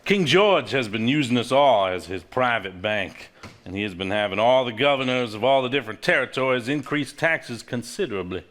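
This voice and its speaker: A man's voice: medium pitch, lively intonation, a little fast, fairly bright tone, lightly acted. An actor on stage playing an earnest, level-headed married man who speaks seriously. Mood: solemn reading aloud. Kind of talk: live stage play acting, reading aloud from a journal.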